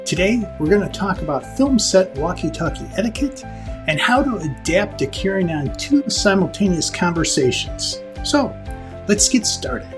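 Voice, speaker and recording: A man's voice: sits high, big pitch swings, moderate pace, over music. A man presenting an instructional lesson to viewers in a conversational, emphatic way, like a director explaining the basics.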